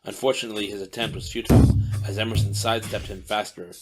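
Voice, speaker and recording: A man reading a dark audiobook story as narrator, in a steady descriptive manner. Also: on the low side, fast. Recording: narration over loud music bed.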